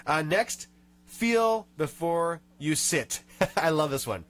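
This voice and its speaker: A man's voice: a little high, expressive, takes it slowly, fairly bright tone. A man hosting a radio show in a studio, nasal from a cold, chatting and reading tips aloud with small rants. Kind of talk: casual radio chat.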